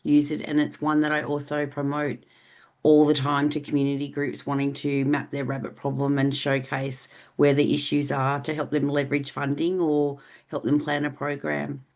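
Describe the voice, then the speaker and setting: A low-voiced woman speaks on a video call in an even, fairly flat tone. She sounds plain and matter-of-fact. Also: normal speed. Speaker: webinar participant.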